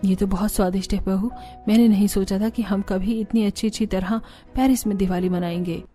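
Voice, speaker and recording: A woman voicing a proud mother-in-law who softens, in a Hindi cartoon story. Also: medium pitch, steady tone, brisk, faint music behind, lightly acted. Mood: delighted.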